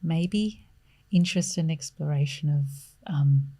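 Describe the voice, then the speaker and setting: Woman podcast host, low and very mellow, careful, hesitant questions. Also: takes it slowly. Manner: hesitant, thoughtful questioning with soft affirmations. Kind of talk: interview questions.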